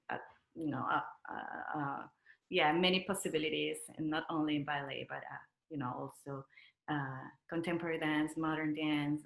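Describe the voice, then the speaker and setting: A woman from Peru with a Peruvian Spanish accent, speaking casually and haltingly as she searches for words. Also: sits low, vocal fry, fairly bright tone. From Lima, Peru. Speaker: interview guest, dancer and choreographer. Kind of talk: casual conversational interview. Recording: roomy echo.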